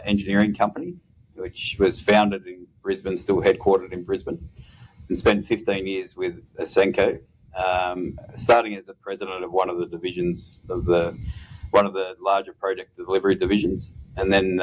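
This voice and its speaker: Low, flat-toned Australian man. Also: lo-fi sound, a little hiss or hum. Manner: measured, with frequent "uh" pauses. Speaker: mining executive guest. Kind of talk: interview answers.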